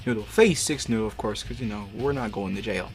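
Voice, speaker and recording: A man's voice: fairly low pitch, a little fast. A man doing a casual read-aloud of amateur fiction, breaking off for slangy, profane, incredulous commentary. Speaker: amateur fanfic reader and commentator. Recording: music behind the voice. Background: music.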